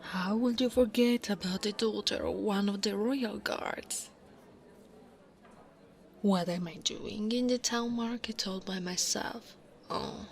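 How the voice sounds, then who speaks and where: Woman as a slow, soft-spoken Italian-accented orc guardswoman. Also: medium pitch. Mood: earnest, determined. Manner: soft-spoken, unhurried, often dropping to a breathy whisper. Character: tall female orc royal guard, devoted, lovestruck, gently teasing. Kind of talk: ASMR romantic monster roleplay.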